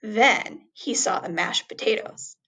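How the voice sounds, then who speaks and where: A woman reading a children's story aloud expressively, with deliberate, dramatic emphasis on words. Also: medium pitch, pushed and bright, slightly rough, rough recording.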